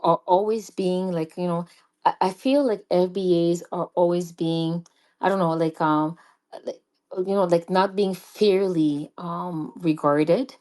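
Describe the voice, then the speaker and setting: A woman caller from Montreal speaks. Her voice sits a little low, and she hesitates often, filling the gaps with ums and uhs while she makes her point to the host. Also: a little mellow. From Montreal, Canada. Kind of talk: call-in radio comment.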